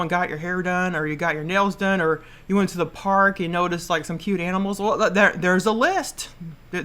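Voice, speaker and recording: A woman's voice: on the low side, a bit bright. A woman in her late thirties hosting a podcast solo, casually giving advice in a rambling, repetitive way and stumbling over words. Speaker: podcast host.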